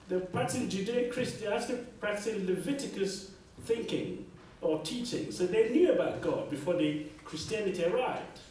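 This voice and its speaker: High-voiced man in an echoey hall. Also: darkish tone. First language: Yoruba. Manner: emphatic, repeats key phrases, asks the room for agreement. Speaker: debate panelist. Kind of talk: impassioned public debate talk. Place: large echoey lecture hall. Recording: echoey hall from far off, faint noise.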